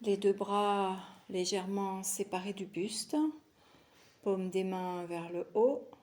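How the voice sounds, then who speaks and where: Slow, mellow woman guiding relaxation. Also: mid-range voice, flat delivery. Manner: soothing, unhurried, phrases broken by long pauses. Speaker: yoga nidra teacher guiding a session. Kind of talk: guided relaxation meditation.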